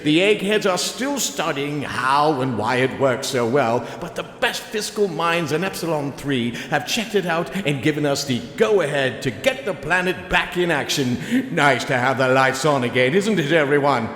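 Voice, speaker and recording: A slick, pompous corporate pitchman played by a man in an audio drama, blustering his way through a press conference. His fairly high voice swoops theatrically in an echoey hall. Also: lively intonation, moderate pace, a bit bright. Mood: smug, slick. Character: smug, blustering male corporate promoter of miracle fuel. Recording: echoey hall, background noise.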